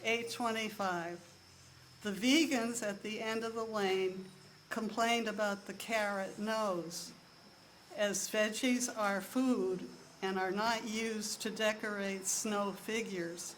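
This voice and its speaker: Woman, soft voice, deadpan stand-up monologue, unhurried timestamped beats, mid-range pitch. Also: slight background noise, poor audio. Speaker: monologue performer. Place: live show in a room.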